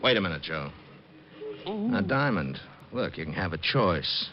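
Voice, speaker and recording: Deep-voiced, menacing radio gangster boss. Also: male voice, huge pitch swings, a little slow, crowd noise, exaggerated voice. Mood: threatening. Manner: threatening, controlled. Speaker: actor in a radio crime drama. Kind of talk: old-time radio acting. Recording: muffled, noisy old radio recording.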